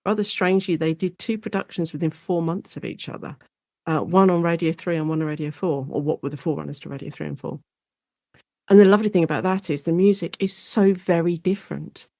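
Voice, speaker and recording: A woman with an English accent explaining things as an expert guest in a conversational interview, in an animated, informal way. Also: fairly low pitch, brisk, no room sound, studio quality.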